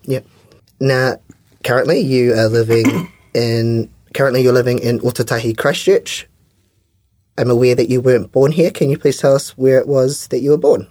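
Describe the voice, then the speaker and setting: A man's voice: mid-range voice, steady tone, normal speed, a little mellow, no room sound. Dry-sounding New man interviewing. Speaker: interviewer, podcast host. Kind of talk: prepared interview questions.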